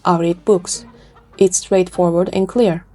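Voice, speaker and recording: A woman's voice: fairly low pitch, steady tone, measured pace, dark tone. A woman presenting a scripted educational video essay, speaking earnestly and expressively straight to the listener. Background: background music.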